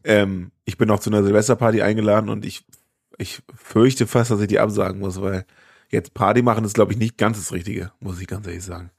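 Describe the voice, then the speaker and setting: A man's voice: lowish voice, steady tone, brisk, creaky, husky, no room sound, studio quality. A young man with a cold, co-hosting a podcast in a laid-back way and laughing easily. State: has a cold. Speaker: podcast co-host. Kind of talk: casual podcast chat.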